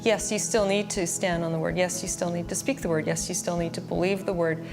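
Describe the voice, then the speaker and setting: A woman's voice: on the low side, fairly even intonation, brisk. A woman giving a personal testimony, recounting events in an earnest, plain-spoken way. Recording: music bed under the voice. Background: background music.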